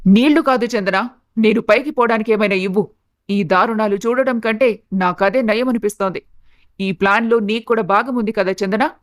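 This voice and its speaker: A woman playing a bitter, status-obsessed middle-aged mother-in-law in an animated family story, indignant, sarcastic and scolding. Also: medium pitch, normal speed, lightly acted. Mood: bitter, outraged.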